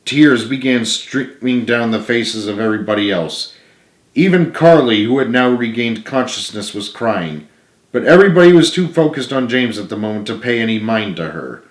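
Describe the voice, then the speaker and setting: A man's voice: medium pitch, expressive, normal speed. A man reading his own book aloud as dramatic storybook narration, earnest and emphatic. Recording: roomy, echoing room sound.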